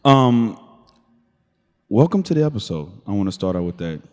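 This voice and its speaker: A man hosting a casual interview podcast, laid-back and quick to answer with "okay" and "no doubt". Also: lowish voice, big pitch swings, darkish tone, in a large hall. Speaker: podcast host. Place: room in a shared studio space.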